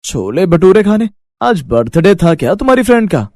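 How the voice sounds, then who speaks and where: Man acting a high-pitched, teasing young husband. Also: big pitch swings, normal speed, mellow, no echo, studio quality. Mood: surprised. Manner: bantering, exclaiming. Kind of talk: Hindi moral story voice acting.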